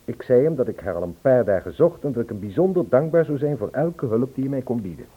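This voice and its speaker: A man's voice: mid-range voice, lively intonation, fast talker. An actor playing an anxious, insistent man searching for a missing woman in a Dutch radio play. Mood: troubled recounting. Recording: husky, phone-like old radio play recording.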